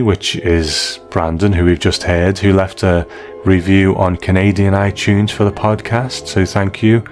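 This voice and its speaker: A man with a low voice hosts a podcast in a conversational way. He speaks appreciatively and directly to his listeners. Soft music plays behind him. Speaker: podcast host. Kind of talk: podcast listener feedback and sign-off.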